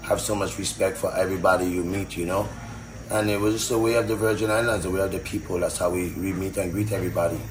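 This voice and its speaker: A man in the music business, speaking in a relaxed, reminiscing way during a sit-down interview. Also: low voice, fast, recorded from a distance, noisy background. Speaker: music artist or producer.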